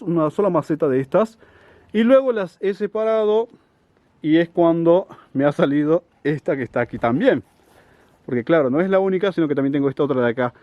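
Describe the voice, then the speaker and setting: A man talks in an unhurried, chatty way, checking in with his listeners as he goes. His voice sits a little high. The recording is dry and slightly muffled. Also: dry recording. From Salta, Argentina. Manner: chatty, unhurried, frequent "¿no?" asides. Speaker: succulent grower, channel host. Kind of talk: casual show-and-tell.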